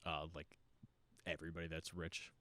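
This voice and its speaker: A man's voice: a bass voice, monotone, normal speed, bright, forceful tone. A man in a casual podcast chat, explaining things at length with lots of fillers and restarts.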